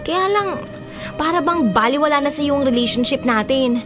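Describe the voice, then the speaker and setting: High-voiced lovelorn young woman, music behind. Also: expressive, normal speed. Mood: hurt, pleading. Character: lovelorn young woman, hurt, pleading, earnest. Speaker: radio drama actress. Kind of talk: Filipino radio drama acting. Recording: music bed under the voice.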